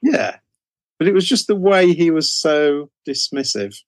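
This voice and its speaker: A man's voice: a little high, lively intonation, measured pace, no room sound. Male, 60s, British, interview guest telling career stories conversationally, circling back on phrases, dry recording. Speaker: interview guest, horror author.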